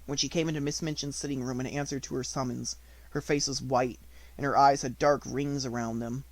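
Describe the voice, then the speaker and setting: A man's voice: mid-range voice, fairly even intonation, a little fast. A man reading scripted narration from a children's novel as an audiobook narrator.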